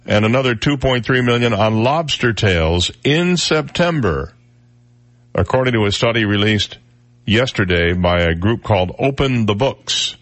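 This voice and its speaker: A man's voice: lowish voice, animated, unhurried, a bit bright, dry recording. A man hosting a casual morning radio talk show, wry and conversational with lots of filler words, sounding a little stuffed up in the nose. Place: radio studio. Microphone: studio microphone.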